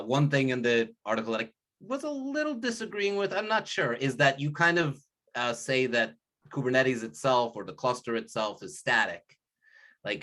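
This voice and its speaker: A man's voice: mid-range voice, bright, forceful tone. A man on a video call, chatting casually about work in a rambling way, often breaking off and restarting. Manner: rambling, self-interrupting, laughs often. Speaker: meeting participant. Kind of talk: casual work meeting chat. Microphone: laptop speaker mic on video call.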